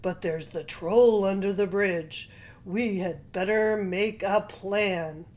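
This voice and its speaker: A woman voicing the biggest male billy goat, bold, loud and firm, in a children's story. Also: mid-range voice, takes it slowly, close to an everyday voice. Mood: cautious.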